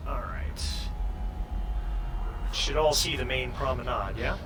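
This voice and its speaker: A man narrating a tabletop game as game master in a halting, conversational way, often correcting himself as he improvises. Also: medium pitch, background noise.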